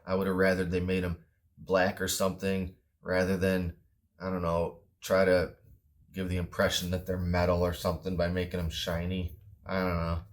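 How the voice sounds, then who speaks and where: A man with a low-pitched voice talks through a product review casually. His delivery is offhand and plain-spoken, with some hesitation as he goes. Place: indoors at a wooden table.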